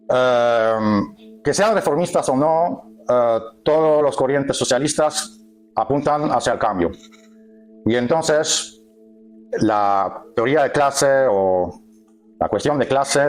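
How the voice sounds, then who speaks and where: Non-native man giving a halting lecture. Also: medium pitch. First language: not Spanish. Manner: halting, searching for words, self-correcting. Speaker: workshop speaker. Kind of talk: live lecture to a group. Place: meeting hall with an audience.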